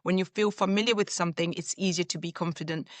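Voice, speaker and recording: A woman's voice: on the low side, fairly even intonation, fast, dry recording. A woman from Zambia, a leadership coach, answering interview questions in a reflective way with everyday examples.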